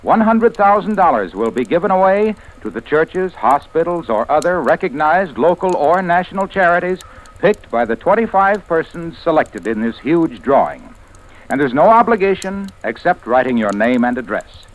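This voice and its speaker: A male pitchman from old-time radio with a fairly high, very lively voice. He sells emphatically in scripted announcer style on a vintage broadcast recording. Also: moderate pace, rough recording. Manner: emphatic salesman.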